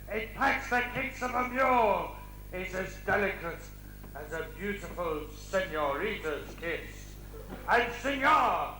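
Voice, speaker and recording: Pantomime Mexican barman, drawn-out theatrical salesman, very slow, noisy hall. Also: very high-pitched, pushed and bright, full character voice. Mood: persuasive. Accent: Mexican, put on. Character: Mexican barman peddling a dubious potent cactus brew. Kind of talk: comic pantomime stage acting. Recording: echoey noisy amateur theatre stage recording.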